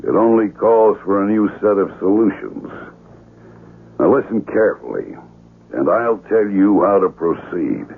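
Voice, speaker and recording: A man playing a calculating spymaster in a 1970s radio drama, with a gravelly, husky voice and a brisk, commanding manner. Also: fairly low pitch, very animated, exaggerated voice. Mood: cool, commanding. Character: commanding, calculating spymaster giving orders from afar. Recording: thin, phone-like vintage radio sound.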